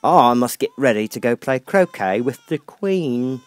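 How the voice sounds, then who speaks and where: A man voicing a gruff, bad-tempered old Duchess in a hoarse growl, barking with drawn-out emphasis, in a storybook reading. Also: mid-range voice, expressive, no room sound, lightly acted. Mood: irritated, dismissive.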